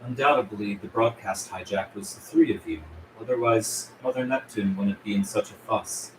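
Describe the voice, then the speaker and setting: A man reading the part of a formal, calculating accountant who negotiates in measured, condescending phrases at a script table read. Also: lowish voice, lightly acted. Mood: cool, formal. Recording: roomy echo with clear background noise.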